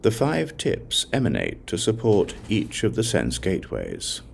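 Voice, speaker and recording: A man narrating a Buddhist scripture as an audiobook, solemn and deliberate, in short measured phrases. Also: fairly low pitch, wildly swooping pitch, vocal fry, slight background noise.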